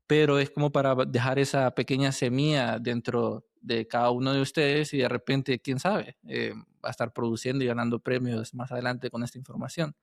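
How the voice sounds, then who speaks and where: A man's voice: medium pitch, flat delivery, moderate pace, vocal fry, few pauses, no room sound, clean studio sound. A man hosting a conversational podcast interview, feeling his way through sentences and checking in with tag questions. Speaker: podcast host interviewing a guest.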